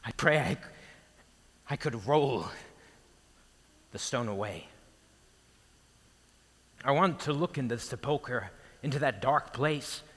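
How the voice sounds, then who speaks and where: A man acts out a bereft, bewildered male disciple in a stage monologue. His voice is bright and husky, stammering through broken phrases with grief and guilt. It rings in a long, echoing reverb. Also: medium pitch, expressive, slow pace, pauses often, exaggerated voice. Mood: wistful, grieving. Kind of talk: theatrical Good Friday dramatic monologue.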